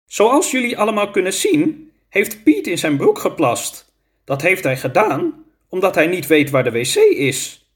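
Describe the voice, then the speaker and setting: A man's voice: high-pitched, huge pitch swings, a little slow, pitched above the performer's own voice, exaggerated voice. A man voicing a patient, matter-of-fact non-human teacher of young children in a storybook. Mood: patient, instructive.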